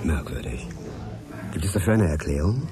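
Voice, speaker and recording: Radio play journalist: courteous, determined, conversational delivery, very low register, café chatter behind him. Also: male voice, animated, moderate pace. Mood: curious. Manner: probing, earnest, conversational. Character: inquisitive male journalist, earnest, courteous, determined bachelor. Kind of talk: naturalistic radio drama dialogue. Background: café crowd chatter.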